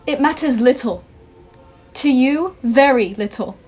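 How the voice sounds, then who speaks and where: A woman's voice: sits high, animated, a little mellow, soft background music. A woman playing a wounded young fiancée. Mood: sorrowful. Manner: measured, dignified reproach. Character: young woman, gentle but hurt fiancée. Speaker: stage actor. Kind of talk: school stage play acting. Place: school theatre stage.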